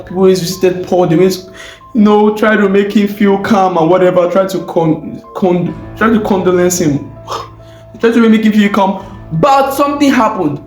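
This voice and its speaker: A man with a West African accent telling a story in an animated, informal way, full of exclamations, repeated phrases and rhetorical questions. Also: sits high, moderate pace. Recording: roomy echo, faint music bed.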